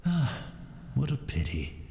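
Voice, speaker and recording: A courteous old blind man for a video game, in a soft-edged, mellow voice with a slight roominess. His phrasing is formal and old-fashioned, rising and falling freely as he rambles in a humble, serene manner. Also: mid-range voice, very animated, slow pace, creaky, reverberant, full character voice. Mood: resigned, wistful. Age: older. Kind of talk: video game character acting.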